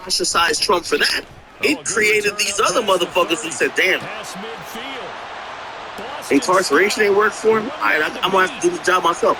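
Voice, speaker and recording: A man's voice: a little high, background noise. Blunt, profane man from Jamaica. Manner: blunt, profane, stammering restarts. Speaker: streamer. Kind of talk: casual political rant.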